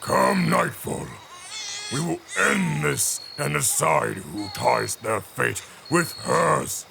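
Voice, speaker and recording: A man acting a bitter, obsessive male rival in an audio drama, with a gravelly, menacing, theatrical delivery. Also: mid-range voice, wildly swooping pitch, takes it slowly, noisy background, heavily acted. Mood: bitter, threatening.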